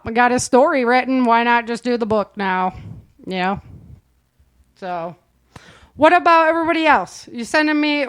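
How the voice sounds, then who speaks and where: Chatty woman host with a higher voice. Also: smooth, dry recording. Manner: chatty, informal, prompts others. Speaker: host and producer of an audio drama. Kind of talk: casual group chat, interviewing performers.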